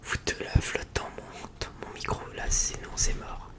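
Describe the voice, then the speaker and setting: An ASMR host chatting casually in a whisper, rambling along in informal, slangy speech close to the microphone. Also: moderate pace.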